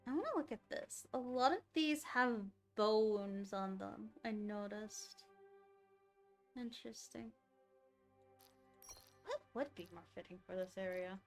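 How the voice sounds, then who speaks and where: A woman's voice: medium pitch, unhurried, long pauses, soft background music. A woman game streamer giving casual live commentary, musing aloud to herself as she plays.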